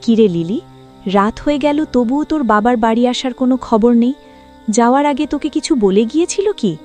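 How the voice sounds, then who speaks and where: A woman acts a careworn cartoon mother who frets about money. Her high voice rises and falls widely as she worries and scolds, with music underneath. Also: expressive, moderate pace, darkish tone, lightly acted. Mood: worried. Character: careworn, practical, fretful mother of a poor family.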